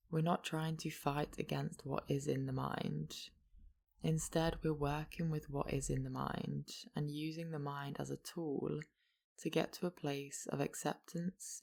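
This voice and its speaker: Gravelly, unhurried guided meditation voice. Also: mid-range voice. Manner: slow, gentle instructing with long pauses. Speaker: yoga nidra meditation guide.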